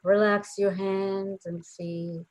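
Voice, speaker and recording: A woman leading a guided meditation in a gentle, soothing manner, leaving long pauses between short phrases. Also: mid-range voice, level tone, low-quality recording.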